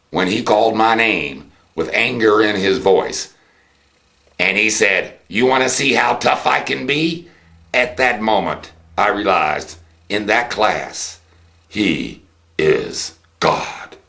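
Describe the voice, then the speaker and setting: An American man giving an unscripted political monologue, theatrical in manner, with rhetorical questions and drawn-out emphasis. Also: on the low side, expressive, vocal fry, fairly bright tone. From United States. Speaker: host of a political commentary show.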